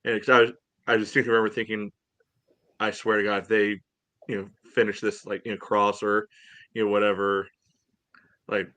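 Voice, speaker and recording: A man co-hosting a soccer podcast in casual chat, sardonic and a bit halting, peppering it with filler phrases. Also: lowish voice, little pitch movement, bright, forceful tone, dry recording.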